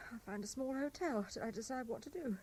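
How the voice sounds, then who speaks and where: A woman's voice: a little high, animated, fairly quick, darkish tone, slight background noise, close to an everyday voice, rough recording. An actress playing a weary, coolly sceptical young Frenchwoman, polite and reserved, in 1970s radio drama style. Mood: guarded, weary.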